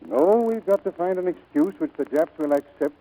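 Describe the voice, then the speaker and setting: An actor playing a grave, authoritative older admiral in a 1940s radio drama. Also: male voice, on the high side, lively intonation, moderate pace, mellow, lightly acted, low-quality recording. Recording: vintage 1940s radio recording.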